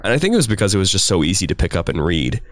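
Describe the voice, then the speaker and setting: A man's voice: deep voice, very animated, rapid-fire, no echo, studio quality. A man hosting a casual podcast, talking with a stuffy nose from a cold and often repeating words as he starts a sentence. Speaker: podcast host.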